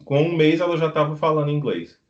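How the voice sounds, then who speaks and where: Middle-aged Brazilian man, guest in an interview, unhurried talker, dull video-call sound. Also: on the high side, darkish tone. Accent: Brazilian Portuguese. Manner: unhurried, rambling anecdotes. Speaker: guest, beekeeper living abroad. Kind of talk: casual interview storytelling.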